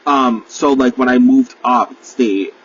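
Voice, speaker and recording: A man's voice: mid-range voice, takes it slowly, dull audio, a little hiss or hum. A man, talking in a rambling, casual solo podcast monologue, full of fillers, swearing freely and poking fun at himself. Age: 20s. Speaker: podcast host.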